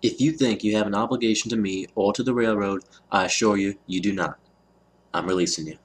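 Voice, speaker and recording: Man acting an anxious, husky railroad man. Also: fairly low pitch, steady tone, a little fast. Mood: pleading, defeated. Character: anxious, earnest railroad man, pleading and conceding. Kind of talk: fan audio drama acting of a Western.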